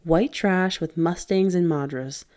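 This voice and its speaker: A woman voicing a frightened, quick-tempered teenage boy in a spoken story. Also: lowish voice, a little mellow, natural voice. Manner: tense, quick to anger. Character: frightened teenage boy gang member, narrator.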